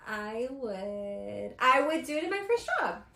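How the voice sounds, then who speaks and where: A woman's voice: a little high, unhurried, gravelly, a little hiss or hum. Chatty laughing woman in an echoey room. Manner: chatty, laughs often, animated. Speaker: podcast co-host. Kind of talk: casual chat.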